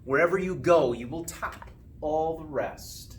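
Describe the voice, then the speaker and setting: A man reading a rhyming children's storybook aloud with expressive, sing-song phrasing and drawn-out line endings. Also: on the high side. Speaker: school principal reading a storybook. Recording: distant mic with faint background noise.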